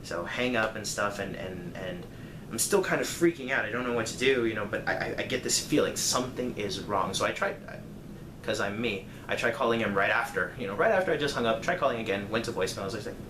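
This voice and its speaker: A man's voice: fairly low pitch, lively intonation, fast. A man telling a personal story casually, in a rambling, conversational way with dramatic emphasis. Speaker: storyteller vlogger. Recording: echoey room, clear background noise.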